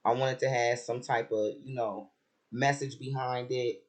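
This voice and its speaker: A man with a raspy voice who has just woken up, chatting casually about a TV episode with lots of fillers and restarts. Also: mid-range voice, moderate pace. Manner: relaxed, rambling, many fillers and restarts. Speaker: reality TV commentator.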